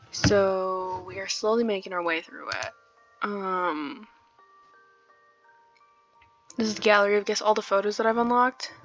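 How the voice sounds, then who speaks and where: A woman's voice: mid-range voice, a bit bright. A woman hosting a let's play in a casual, chatty way, commenting on the game and reading from it. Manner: giggly, chatty, informal asides. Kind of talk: casual game commentary with some read-aloud narration. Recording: dull lo-fi mic, music behind. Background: game music.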